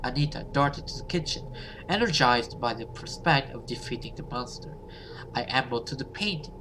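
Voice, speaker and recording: A man's voice: mid-range voice, lively intonation, normal speed, noisy background. A man reading a horror story aloud as a gamer, narrating and voicing dialogue, sometimes stumbling and rereading. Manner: stumbles over words and rereads.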